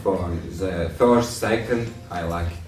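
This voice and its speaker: Very deep-voiced man. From Latvia. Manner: halting, simple phrasing. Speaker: interviewee. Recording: echoey room, distant mic, faint music.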